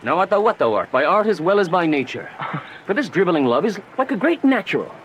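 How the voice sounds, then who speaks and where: A man playing a flamboyant young gentleman in a Shakespearean radio drama, mocking and bawdy, declaiming his teasing wordplay. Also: high voice, sing-song, a little fast. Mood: playful teasing. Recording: noisy, slightly dull radio drama recording.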